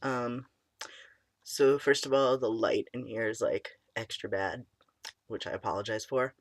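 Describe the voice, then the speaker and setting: A young man in casual chat, his voice cracking and squeaky, deep and stuffy from a cold, rambling with many ums and likes. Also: measured pace, no echo. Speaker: vlogger. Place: small room indoors.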